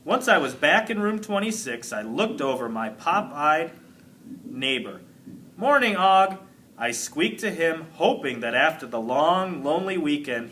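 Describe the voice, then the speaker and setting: A man reads a children's story aloud in a bright, forward voice. His pitch swings widely from phrase to phrase and he takes his time. There is faint room noise behind him. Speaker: teacher reading a children's book aloud. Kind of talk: expressive storybook narration.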